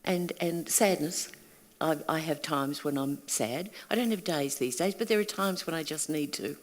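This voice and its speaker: Older woman, Australian accent, earnest, reflective, soft at moments, reverberant hall. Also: sits low, normal speed. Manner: earnest, reflective, at times hushed. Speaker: panel guest, workshop leader. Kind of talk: candid panel talk. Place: event hall.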